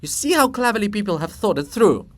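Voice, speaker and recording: A man's voice: high voice, very animated, voice pushed up. Man playing a dignified, placating scholar statue. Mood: reassuring. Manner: placating, measured, formal. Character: dignified male monument of a scholar, conciliatory and respectful. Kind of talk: dramatic play reading.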